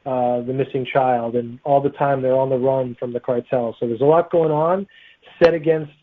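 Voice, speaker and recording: A man's voice: medium pitch, fairly even intonation, moderate pace. A man speaking as a guest author, answering interview questions in a casual, conversational way. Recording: tinny, phone-like line.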